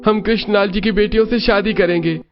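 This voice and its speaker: Dramatic young son acted by a man, raised pitch, exclaiming, family story, music behind. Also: steady tone, a little fast, dull audio, natural voice. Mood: pleading, earnest. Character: excitable young son, indignant yet obedient.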